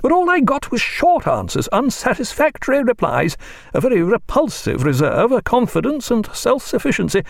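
A man voicing a domineering, meddling older woman who talks in a shrill, overbearing, lecturing way. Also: wildly swooping pitch, normal speed, creaky, no echo, studio quality, full character voice. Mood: imperious. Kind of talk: audiobook character voice.

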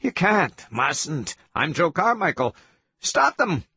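A man's voice: a little high, big pitch swings, slow pace, pushed and bright, husky, no echo, voice pushed up, full character voice. A man voicing a desperate trapped human man, pleading in a strained, raised voice.

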